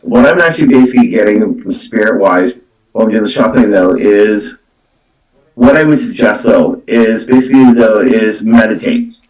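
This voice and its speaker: A man giving a live spiritual card reading as a psychic medium, speaking in halting, repetitive phrases. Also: fairly low pitch, darkish tone, recorded from a distance. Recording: roomy, echoey, away from the mic.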